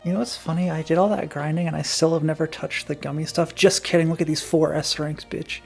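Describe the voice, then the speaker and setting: Brief: gravelly, heavily creaky streamer, dry joking banter over faint game music. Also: medium pitch, fairly even intonation, brisk, darkish tone. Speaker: gaming streamer. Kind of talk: casual sarcastic game commentary.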